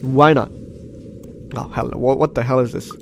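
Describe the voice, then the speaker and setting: A man's voice: mid-range voice, fairly even intonation, normal speed, slight background noise. A man hosting a game walkthrough, reading the on-screen story aloud and reacting in an exclamatory way. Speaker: gaming host. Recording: game music behind commentary. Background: game music.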